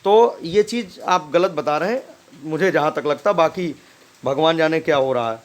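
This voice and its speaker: A man's voice: fairly high pitch, moderate pace. A man giving informal commentary as a host, insistent and admonishing, often tagging his points with short check-in questions. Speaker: host commenting on a recorded phone call.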